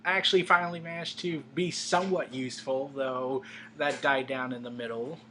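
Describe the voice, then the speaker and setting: Bright-voiced young man, opinionated. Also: mid-range voice, steady tone, moderate pace. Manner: opinionated, stumbling restarts. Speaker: co-host. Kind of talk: casual anime discussion. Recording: faint background hum.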